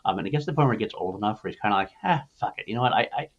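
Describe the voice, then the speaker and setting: Fast, animated, husky man hosting. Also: fairly low pitch, dull audio. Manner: rambling, enthusiastic. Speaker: podcast host. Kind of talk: casual movie discussion.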